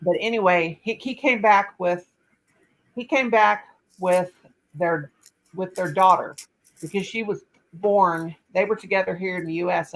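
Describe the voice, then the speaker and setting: A woman's voice: lowish voice, low-quality recording. An older woman hosting a casual live chat on her laptop, telling a rambling story full of restarts. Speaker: channel host.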